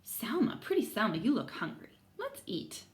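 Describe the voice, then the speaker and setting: A woman's voice: on the high side, wildly swooping pitch, moderate pace, roomy sound. A woman voicing a doting, easily fooled old granny from a storybook, fussing affectionately.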